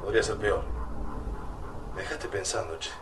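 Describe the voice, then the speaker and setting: An Argentine actor playing a reflective, humble survivor in a radio drama, speaking hesitantly and quietly with music behind. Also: male voice, on the low side, moderate pace, far from the mic, noisy background, natural voice. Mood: subdued, reflective. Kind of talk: naturalistic radio drama dialogue.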